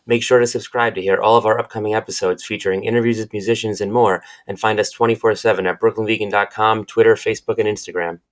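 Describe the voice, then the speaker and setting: A man's voice: on the low side, steady tone, quick pace, few pauses, rough recording. A man reading a scripted intro to his music podcast. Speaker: podcast host.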